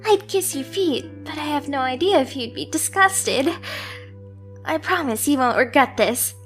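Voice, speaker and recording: A woman's voice: sits high, lively intonation, measured pace, fairly bright tone. A woman voicing a submissive, obsessively devoted stray cat girl in an anime ASMR roleplay, with a girlish, eager, self-deprecating manner. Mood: adoring, obsessive. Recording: music behind the voice. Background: music.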